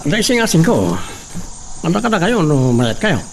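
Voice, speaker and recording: An actor playing a sly hacienda foreman who flatters his master. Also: on the high side, moderate pace, gravelly. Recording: distant mic, faint noise.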